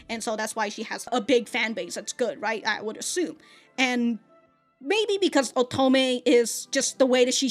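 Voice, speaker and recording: A woman hosting an anime reaction channel, talking casually with loose slang and frequent laughs. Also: medium pitch. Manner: chatty, laughs often, loose slang and swearing. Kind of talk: casual commentary.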